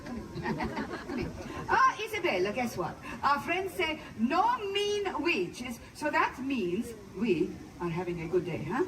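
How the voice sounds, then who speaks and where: Woman doing a cheery old Italian witch, high voice, bouncy swoops, put-on accent, from afar with loud ambient noise. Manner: playful, coaxing, scolds her broom. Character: good-natured old Italian witch woman, gift giver. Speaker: costumed live performer. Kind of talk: live character storytelling to a crowd. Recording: distant mic, noisy outdoor crowd.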